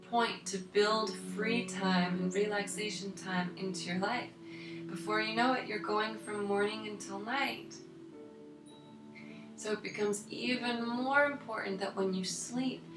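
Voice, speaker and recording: A woman hypnotherapist giving a calm coaching talk about sleep, soothing and unhurried, her voice a little tired and deeper than usual. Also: roomy sound, rough recording. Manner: soothing, unhurried, repeats words for emphasis.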